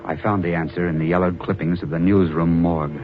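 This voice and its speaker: An actor playing a newspaper reporter narrating an old radio drama, earnest and slightly halting. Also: male voice, low voice, fairly even intonation, close to an everyday voice. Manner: earnest storyteller, hesitant repeats. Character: newspaper reporter narrating his story, adult man. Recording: dull old radio recording with music.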